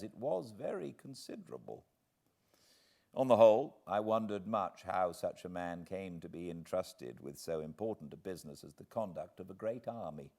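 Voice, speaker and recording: A man with a British accent reading a classic book aloud as an expressive audiobook narrator. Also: low-pitched. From Britain.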